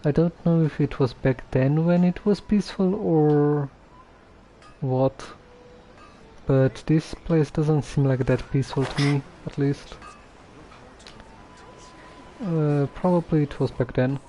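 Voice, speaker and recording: A low-key streamer musing over a game in casual commentary. The voice is soft-edged and mellow, slow and hesitant, at a medium pitch. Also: a little hiss or hum, poor audio.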